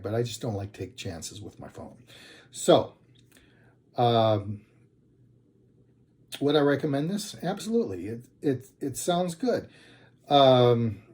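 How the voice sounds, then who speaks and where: A man talks through a product review in a relaxed, mellow voice at a slow pace. He sounds unscripted and plain-spoken, often hesitating and rambling as he works out his points. His pitch sits in the middle for a man. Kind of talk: casual unscripted video review.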